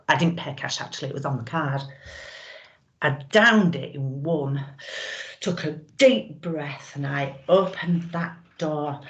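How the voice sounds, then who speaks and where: A woman playing a brazen, wistful middle-aged widow in a theatrical monologue, knowing and suggestive with drawn-out pauses, on a lo-fi recording. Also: deep voice. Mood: dramatic.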